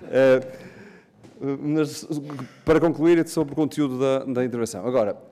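A man with a European Portuguese accent argues his point in parliament, his tone dark and mellow. He often hesitates and restarts mid-sentence. A long reverb from the big chamber trails his voice. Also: medium pitch, moderate pace. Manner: argumentative, many hesitations and restarts. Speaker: member of parliament. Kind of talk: parliamentary debate speech.

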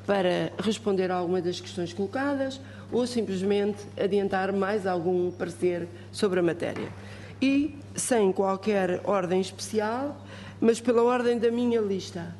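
A woman's voice: mid-range voice, dark tone, long reverb tail, a little hiss or hum. Courteous woman chairing. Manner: courteous and procedural. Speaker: committee vice-chair chairing a hearing. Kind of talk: formal chairing of a hearing.

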